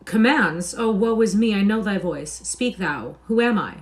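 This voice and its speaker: A woman voicing an excitable poor boy who speaks in archaic, exclamatory phrases. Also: lowish voice, fairly quick, lightly acted. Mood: dismayed. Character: excitable poor boy dreaming of becoming king.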